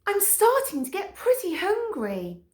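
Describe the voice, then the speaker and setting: A woman voicing a calm, level-headed male dog who coaxes his friend along, in a roomy echo. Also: extremely high pitch, measured pace, voice pushed up, heavily acted. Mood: coaxing. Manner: coaxing, reasonable.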